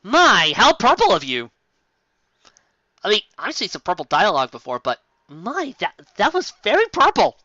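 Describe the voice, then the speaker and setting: A man giving a sarcastic, theatrical dramatic reading of fan fiction and breaking in with excitable commentary. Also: sits high, moderate pace, no room sound, highs cut off.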